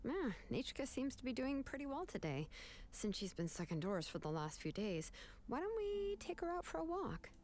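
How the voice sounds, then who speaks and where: Easygoing, animated young woman. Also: sits high, normal speed, hardly any pauses. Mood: kind. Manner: reassuring, considerate. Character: easygoing, kind, thoughtful young adult woman. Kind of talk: anime game voice acting. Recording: faint music behind the voice.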